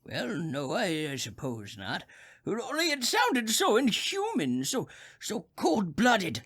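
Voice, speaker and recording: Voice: mid-range voice, wildly swooping pitch, slow pace, a bit bright, husky, full character voice. An actor playing a crotchety, nervous old male invalid who stammers insistently on the telephone, in old-time radio suspense style. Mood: shaken.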